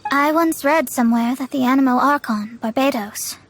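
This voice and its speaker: Young female game astrologer, high voice, proud, haughty, articulate, grandiose delivery. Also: moderate pace, no room sound. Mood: explaining, assured. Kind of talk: video game voice acting.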